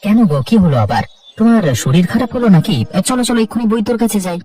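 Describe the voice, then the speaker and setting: A man's voice: sits high, big pitch swings, fast talker, warm tone. A man voicing a concerned, peacemaking young husband in a children's cartoon, anxious and pleading, recorded at a distance. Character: young married office worker, concerned, peacemaking son. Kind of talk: Bengali children's cartoon dialogue.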